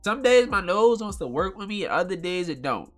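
A man's voice: sits high, very animated, brisk, faint music behind. A man hosting his own podcast with a nasal voice, chatting casually in a loose, rambling, slangy way at a home mic. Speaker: podcast host. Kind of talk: casual solo podcast talk.

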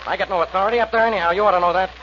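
An actor playing a gruff, sarcastic American homicide inspector in a brusque 1940s radio style. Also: male voice, high voice, fairly even intonation, racing, fairly bright tone. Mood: needling, brusque. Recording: noisy, muffled old radio recording.

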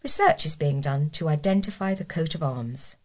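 A woman reads local news from a script as a volunteer newsreader. Her voice is a little lower than most women's. The delivery is that of read-aloud news copy. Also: normal speed, darkish tone.

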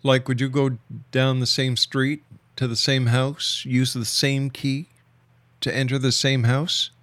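A man's voice: medium pitch, level tone, a little slow, a bit bright, dry recording. A man hosting a radio talk show, questioning his guest in a direct, skeptical way. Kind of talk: probing radio interview.

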